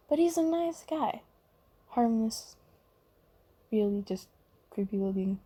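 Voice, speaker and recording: A woman as a breathy, mellow, gentle demon comforter. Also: medium pitch. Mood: fond, reassuring. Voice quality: soft, breathy, half-whispered. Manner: gentle, hesitant, reassuring. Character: kindly apologetic female sleep paralysis demon, gentle comforter. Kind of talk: ASMR comfort roleplay.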